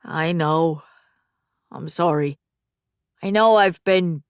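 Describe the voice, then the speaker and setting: A woman's voice: low-pitched, slow, smooth, no room sound, clean studio sound. A woman voicing a guilty eighteen-year-old son who hides his troubles behind forced cheer. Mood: guarded. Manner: hesitant, covering with forced cheer. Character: young man of eighteen, guilty and evasive.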